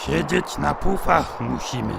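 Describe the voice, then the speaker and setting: A man's voice: fairly high pitch, animated, measured pace, vocal fry, fairly bright tone, slight background noise, exaggerated voice. A man voicing a tiny ancient alien master, solemn, speaking in inverted word order in a fan parody dub.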